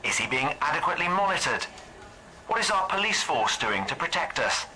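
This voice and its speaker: An actor playing an earnest, provocative local radio presenter giving a dramatic, rhetorical broadcast. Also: male voice, on the high side, very animated, a little fast, bright, forceful tone, a little hiss or hum, heavily acted, low-quality recording. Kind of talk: news-style radio broadcast within a drama.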